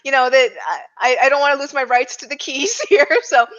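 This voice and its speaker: A woman's voice: sits high, fairly even intonation, fairly quick, a bit bright. A woman hosting a casual podcast discussion. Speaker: podcast host. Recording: dull lo-fi audio.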